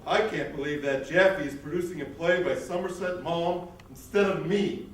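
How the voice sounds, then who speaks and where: Man as a peevish high-voiced playwright. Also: normal speed, recorded from a distance, lightly acted. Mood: huffy. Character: peevish, self-important male would-be playwright. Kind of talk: staged reader's theatre comedy. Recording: echoey hall from afar.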